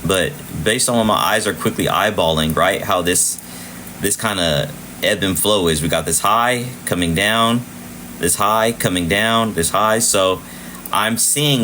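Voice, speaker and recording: A man's voice: low-pitched, slight background noise. A man hosting a live trading stream with casual chart commentary, chatty and repetitive, thanking viewers as he goes, his voice wearing out. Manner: chatty, shouting out viewers, repeats himself. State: losing his voice. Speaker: livestream trading host.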